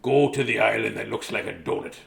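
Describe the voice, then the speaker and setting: A man's voice: lowish voice, fairly quick, creaky, fairly bright tone. A middle-aged man reads a children's bedtime story in a silly, sing-song way, breaking off for jokey asides. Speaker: storyteller and host. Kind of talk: playful bedtime story reading with joking asides.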